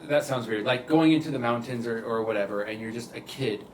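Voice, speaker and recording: A man's voice: mid-range voice, fairly quick. An American man chatting casually and jokingly as a co-host. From United States. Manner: playful, joking. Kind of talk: casual chat. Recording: roomy, distant mic, noisy background.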